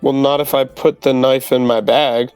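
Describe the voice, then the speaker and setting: A man's voice: mid-range voice, steady tone, normal speed, a little mellow, clean tone, no room sound, soft background music. Dry-sounding man playing a tabletop game. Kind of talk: casual in-game chat.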